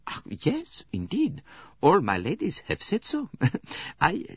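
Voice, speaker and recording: A frightened, earnest German lady's maid pleads anxiously, as a man voices her. The voice is raised and husky, with a wide swing. The audiobook sound is dull and dry. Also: fairly high pitch, wildly swooping pitch, takes it slowly, dry recording, pitched above the performer's own voice, exaggerated voice.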